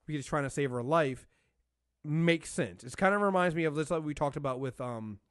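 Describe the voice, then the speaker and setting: This is a man with a slightly high voice, recorded very dry. He hosts a podcast and talks in a casual, opinionated way. He leans on his points emphatically and doubles back to repeat phrases as he goes. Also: brisk, no room sound. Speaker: podcast host reviewing a TV show.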